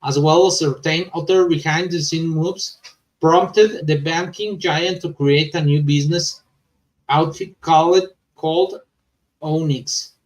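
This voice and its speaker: A man with a native Spanish speaker's accent hosting a crypto news vlog, reading news and commenting informally in halting, stumbling English. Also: fairly high pitch, fairly even intonation, distant mic, poor audio.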